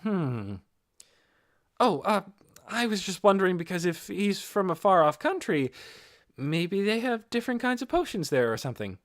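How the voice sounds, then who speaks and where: A man's voice: high voice, very animated, moderate pace, pitched above the performer's own voice. A man voicing an eager, curious young male potion maker in an audiobook, with wide-eyed questioning.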